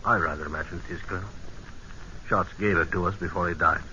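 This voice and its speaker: Shrewd intelligence captain, forceful, lowish. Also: male voice, steady tone. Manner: polite, measured, probing. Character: British military intelligence captain, polite, shrewd, relentless interrogator. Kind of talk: 1940s-50s radio drama acting. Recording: old hissy, muffled radio broadcast recording.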